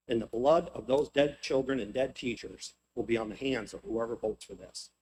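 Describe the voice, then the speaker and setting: A man's voice: medium pitch, a little hiss or hum, rough recording. A man, a former state representative, giving emphatic, insistent testimony to a legislative committee. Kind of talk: public testimony at a legislative hearing.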